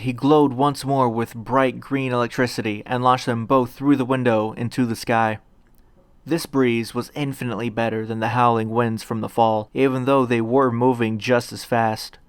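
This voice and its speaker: A man narrates a piece of fan fiction from a script. His voice sits at a medium pitch. The sound is dry, with hardly any room to it. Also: normal speed, clear, resonant tone, no room sound.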